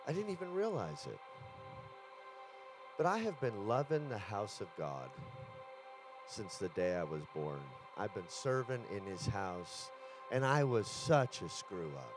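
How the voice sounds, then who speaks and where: A man's voice: fairly low pitch, big pitch swings, measured pace, music in the background, rough recording. A man in his fifties preaching a sermon as a guest pastor, then praying aloud with rising fervor, declaring each line. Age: 50s. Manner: builds to loud fervent declarations in prayer. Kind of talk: sermon and prayer.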